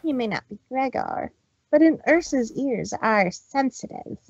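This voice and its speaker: A woman's voice: sits high, expressive, measured pace, creaky, breathy edge, no room sound, heavily acted. A woman voicing a boastful young mare magician who speaks of herself in the third person, in a theatrical manner. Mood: taunting. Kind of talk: fanfic reading voice acting. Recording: dull, lo-fi stream audio.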